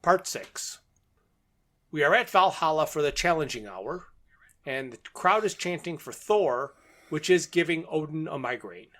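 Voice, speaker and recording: Higher-pitched man recapping a story. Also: animated, measured pace, fairly bright tone. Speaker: podcast co-host. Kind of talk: podcast plot recap and discussion.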